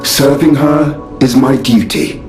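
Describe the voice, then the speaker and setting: A man's voice: mid-range voice, fairly even intonation, slow, over music, close to an everyday voice. Man playing an echoey, formal mechanical butler. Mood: dutiful. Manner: formal, deferential, precise. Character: devoted, formal mechanical butler, courteous and earnest. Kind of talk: dramatic video game cutscene dialogue.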